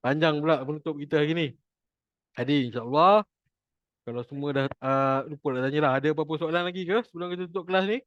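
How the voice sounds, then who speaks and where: Malaysian male Quran teacher, chatty and jokey, slightly high voice, dry lo-fi recording. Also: fast talker, a bit bright, no room sound. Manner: chatty, jokey, repeats points for emphasis. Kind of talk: informal online class teaching.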